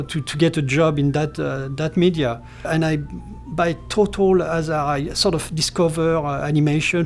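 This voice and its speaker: A man's voice: on the high side, gravelly. A middle-aged man from France with a French accent, recalling his career in an interview with many fillers and restarts. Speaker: animation character designer. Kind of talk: talking-head interview, recollections.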